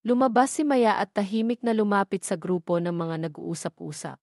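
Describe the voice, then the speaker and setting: A woman's voice: mid-range voice, fairly even intonation, normal speed, a little mellow, no room sound, studio quality. A woman with a Filipino accent reads a scripted love story as an audio drama narrator.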